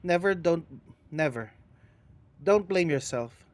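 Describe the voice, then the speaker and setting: A man's voice: fairly high pitch, lively intonation, takes it slowly. A man reading aloud the farewell letter of a dead wife, in a tender, consoling manner. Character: dying young wife writing a farewell letter.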